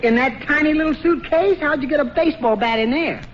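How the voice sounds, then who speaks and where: A man's voice: very high-pitched, fast. An actor playing a bumbling, indulgent suburban husband and father in 1940s radio comedy, hemming and muttering hesitantly as he talks. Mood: feigned agreement. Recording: muffled, hissy vintage 1940s radio recording.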